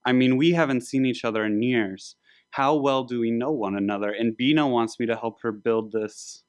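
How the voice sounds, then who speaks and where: A man voicing an earnest, grieving young architect in a biblical story, speaking haltingly. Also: medium pitch, no echo, natural voice. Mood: hesitant.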